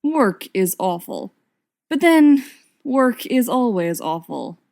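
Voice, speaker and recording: A woman's voice: a little high, very animated, slow, vocal fry, a little mellow. A woman narrating a written story expressively, with wry, dramatic emphasis. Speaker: audiobook reader of fan fiction.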